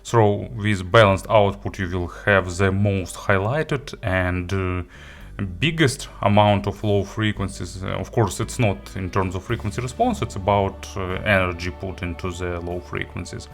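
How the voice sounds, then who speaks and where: A man who is not a native English speaker gives an unscripted product review, haltingly, often searching for words and rephrasing. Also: deep voice, fairly even intonation, gravelly, soft background music. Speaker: audio gear reviewer and host. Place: indoor studio.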